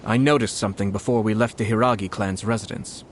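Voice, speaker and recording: An actor playing a composed, polite young nobleman in a video game, shrewd and quietly mischievous, speaking in measured phrases. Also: on the low side, steady tone, a little hiss or hum, close to an everyday voice. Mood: serious, thoughtful. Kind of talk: video game character acting.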